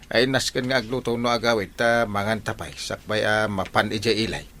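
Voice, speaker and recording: A man acting an excitable, scheming uncle in a village comedy drama, with an Ilocano accent and a blustering, exclamatory manner. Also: lowish voice, brisk, lightly acted. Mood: scheming, grumbling. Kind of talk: comic radio-drama acting. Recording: faint ambient background noise.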